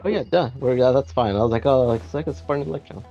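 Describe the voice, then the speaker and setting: A man's voice: medium pitch, quick pace, warm tone, highs cut off. A man chatting casually while gaming with friends, rambling and often interrupting himself. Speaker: co-op gaming partner. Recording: game music behind. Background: video game music.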